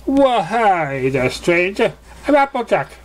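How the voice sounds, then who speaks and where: A man's voice: sits high, very animated, takes it slowly, pitched above the performer's own voice, heavily acted. A man voicing a friendly, folksy country mare from a cartoon, drawing out greetings. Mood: welcoming.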